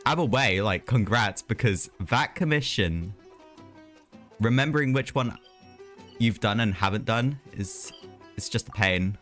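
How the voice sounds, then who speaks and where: A man's voice: lowish voice, lively intonation, unhurried, pauses often, a bit bright. A man chatting casually and ramblingly as he streams a video game, talking to his viewers. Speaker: live streamer. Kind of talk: casual gaming livestream chat. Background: music.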